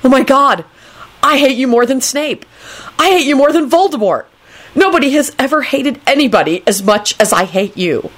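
A woman's voice: a little high, animated, moderate pace, few pauses, pitched above the performer's own voice. A flustered storybook man, acted by a woman, vehement and frantic. His raised voice swoops as he blurts his complaints. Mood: exasperated. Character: flustered, vehement young man, easily exasperated.

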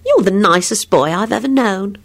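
Sweet young sweetheart played by a female narrator, bashful, playfully firm, raised swooping pitch, storybook romance. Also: lively intonation, moderate pace. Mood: tender, tearful.

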